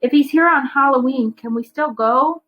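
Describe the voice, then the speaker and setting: A woman's voice: high-pitched, moderate pace, muffled recording, voice pushed up, close to an everyday voice. A woman voicing an earnest, curious schoolgirl in a children's storybook, hesitant and questioning.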